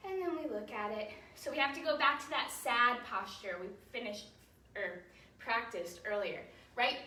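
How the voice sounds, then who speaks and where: A woman with a high, very lively voice leads a children's dance lesson. She is encouraging and explains each thing simply, often checking in with her young pupils. The sound is roomy and echoing, picked up at a distance from the mic. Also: moderate pace. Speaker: children's ballet teacher and storytime host. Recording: roomy echo, distant mic, faint noise.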